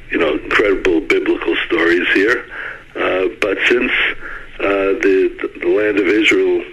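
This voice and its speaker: A man's voice: low voice, pushed and bright, breathy edge, low-quality recording. A middle-aged man from Brooklyn, a former mayor, giving an earnest interview over the phone and often repeating words as he starts a sentence. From United States (Brooklyn). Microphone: phone line.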